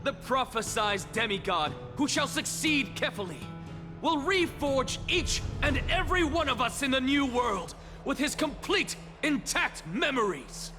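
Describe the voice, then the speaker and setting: An actor playing an arrogant heretic professor in a video game, declaiming with theatrical scorn. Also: male voice, very high-pitched, animated, measured pace, over music. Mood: grand, proclaiming. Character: arrogant male scholar, theatrical heretic professor lecturing an assembly. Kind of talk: dramatic video game voice acting.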